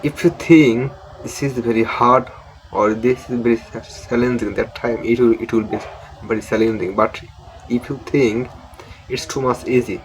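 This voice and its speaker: A man's voice: mid-range voice, slight background noise, rough recording. A young man with a Bengali accent, a native Bengali speaker hosting a casual English lesson to camera, often stopping, repeating words and restarting sentences. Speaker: English learning channel host.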